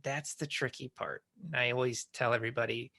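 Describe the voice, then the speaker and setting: Middle-aged guy hosting a podcast, really bright forceful voice, chatty and animated, medium pitch. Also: brisk, creaky. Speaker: podcast host and screenwriting teacher. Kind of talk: conversational interview about screenwriting.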